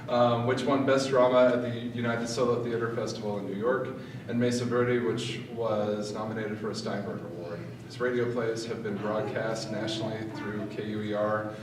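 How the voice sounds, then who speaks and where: A man hosting a live event, giving a halting, informal introduction partly read from notes. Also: lowish voice. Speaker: host introducing a guest playwright. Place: large reverberant room at a live event. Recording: very echoey room, distant mic, noisy.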